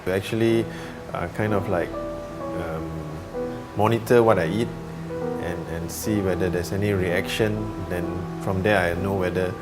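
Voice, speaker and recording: A man in his forties giving a halting, tentative personal account. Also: low-pitched, fairly even intonation. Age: 40s. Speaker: interviewee, school worker. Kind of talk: personal testimony. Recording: loud music over the voice. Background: loud background music.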